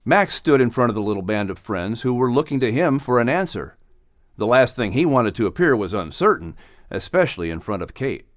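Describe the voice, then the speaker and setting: A man's voice: mid-range voice, big pitch swings, a little fast, no echo, studio quality. A man reading a children's story as a scripted audiobook narration.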